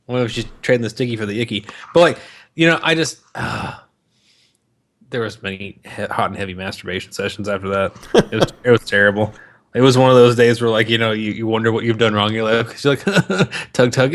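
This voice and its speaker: A man's voice: medium pitch, fairly quick. A man co-hosting a podcast, joking casually about films in crude, slangy language. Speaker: podcast co-host.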